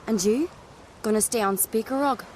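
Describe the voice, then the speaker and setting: A woman playing a grave, composed island clanswoman in a fantasy video game, speaking in a measured, solemn way. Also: fairly high pitch, darkish tone, slight background noise, close to an everyday voice. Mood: guarded, composed.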